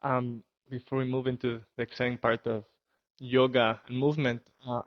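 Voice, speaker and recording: A man's voice: mid-range voice, level tone, moderate pace, no room sound, lo-fi sound. A man hosting an interview podcast, talking earnestly and with enthusiasm, often murmuring agreement while his guest speaks. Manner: earnest, enthusiastic, says hmm a lot. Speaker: podcast host. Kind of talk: casual interview. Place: home in Costa Rica.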